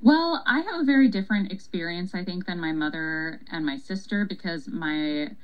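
A young woman with a low-pitched voice talks through her story in an interview. She is from Paraguay and serves on a city council, answering in a thoughtful, unhurried conversational way, with frequent ums and easy laughter. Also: lo-fi sound.

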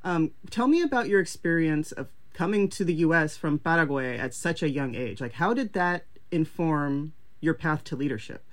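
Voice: medium pitch, lively intonation, moderate pace, few pauses. An interview host with a mid-range voice leads the conversation in a casual, upbeat way. The delivery is slangy and loose, full of "like" and "um" as questions take shape. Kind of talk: podcast interview.

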